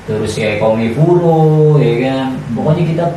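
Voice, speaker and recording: A man's voice: medium pitch, lively intonation, moderate pace, mellow, lo-fi sound. A young man with a Jakarta-area Indonesian accent co-hosts a podcast in a casual, slangy, conversational way. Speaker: podcast co-host. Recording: echoey room, distant mic, faint noise.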